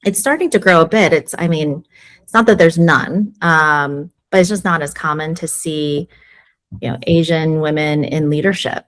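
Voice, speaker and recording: A woman's voice: low voice, normal speed. A woman in an interview, telling her own story frankly and acting out what people said to her. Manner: frank, animated storytelling with acted-out quotes. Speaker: sales executive guest. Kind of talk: candid interview conversation.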